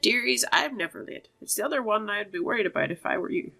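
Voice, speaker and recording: A woman voicing a kindly, knowing old witch in a coaxing, cheerfully unhurried game character voice. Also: medium pitch, expressive, gravelly, bright, forceful tone, soft background music, exaggerated voice. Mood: unhurried, amused.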